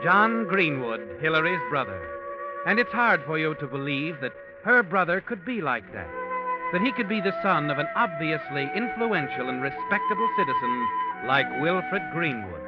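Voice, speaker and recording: An actor playing a sinister, knowing narrator, insinuating and taunting in dramatic 1940s radio suspense style. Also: male voice, fairly high pitch, wildly swooping pitch, normal speed. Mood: taunting, ominous. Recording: dull vintage radio with loud music.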